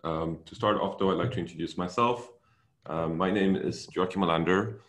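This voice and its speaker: A young man with a Swedish accent giving an online seminar presentation as a technical director, relaxed and with many fillers. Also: sits low, normal speed. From Sweden.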